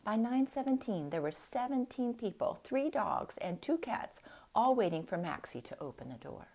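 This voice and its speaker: A woman reading a storybook aloud in an expressive style, with deliberate, dramatic phrasing. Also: on the high side, gravelly. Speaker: storybook reader.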